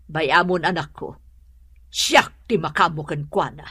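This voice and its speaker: A woman with an Ilocano accent acting in a radio drama as a harsh, complaining older mother-in-law, husky and scolding. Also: sits low, unhurried, pushed and bright, no room sound, clean studio sound, heavily acted. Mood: muttering threats. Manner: scolding, indignant.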